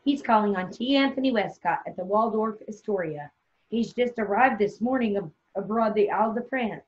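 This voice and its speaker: A woman's voice: medium pitch, lively intonation, normal speed, close to an everyday voice. A woman playing a sweet, anxious, hopeful young wife in a play reading, on a dull online recording. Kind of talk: play table read. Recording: dull lo-fi online call sound.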